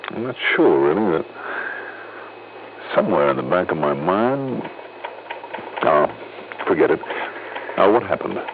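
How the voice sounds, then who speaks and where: An American actor playing a shrewd, blunt insurance investigator in a 1950s-style radio drama, questioning crisply and narrating in measured phrases. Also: male voice, low-pitched, big pitch swings, background noise, full character voice. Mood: probing, guarded. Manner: clipped questioning, measured first-person narrating. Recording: muffled old radio broadcast with music.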